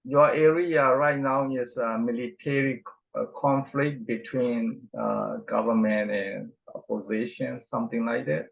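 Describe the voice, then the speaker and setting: A man hosting a podcast, asking questions in slow, hesitant phrasing with frequent fillers. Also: medium pitch, steady tone, gravelly. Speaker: podcast host. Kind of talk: interview questions. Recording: dull lo-fi audio.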